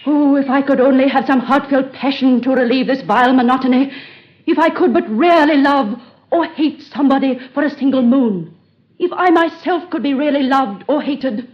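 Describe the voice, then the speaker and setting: A woman's voice: high-pitched, close to an everyday voice. An actress playing a haughty, bored and cruel queen of ancient Egypt, in 1930s radio drama style with archaic, imperious diction. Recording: dull old radio recording.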